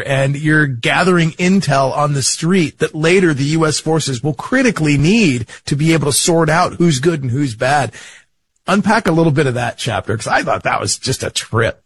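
A man's voice: fairly high pitch, slightly rough. A man hosting an interview, reading prepared setups and then prompting his guest to tell stories. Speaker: interview host. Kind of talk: interview questions, prepared intro.

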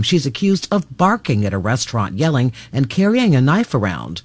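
A woman's voice: deep voice, a little mellow, husky, no echo. A woman correspondent reading a scripted radio news report.

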